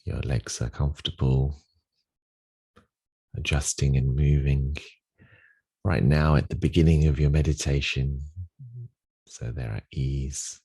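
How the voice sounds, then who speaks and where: Very deep-voiced man guiding meditation, unhurried. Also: fairly even intonation, slightly rough. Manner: gentle, unhurried instruction with long pauses. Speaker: meditation guide. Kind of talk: guided meditation.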